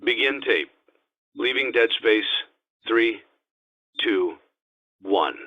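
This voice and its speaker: An actor playing a calm, detached company instructor in a video game, reading measured, matter-of-fact instructions on a dull lo-fi tape. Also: male voice, lowish voice. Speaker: tape narrator. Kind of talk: scripted instructional narration.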